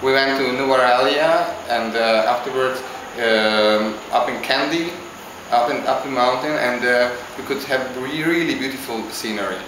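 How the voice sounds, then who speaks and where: A man from Hungary, answering interview questions in a halting, casual way as he searches for words. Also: medium pitch, background noise. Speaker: interviewee, visiting traveler. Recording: very echoey with clear background ambience.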